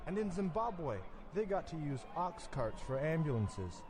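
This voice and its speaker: Animated, oblivious beer-ad buddy. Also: male voice, on the high side, unhurried, noisy background. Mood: casual, oblivious. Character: smug, oblivious American male beer drinker in radio ad. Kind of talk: satirical radio commercial sketch.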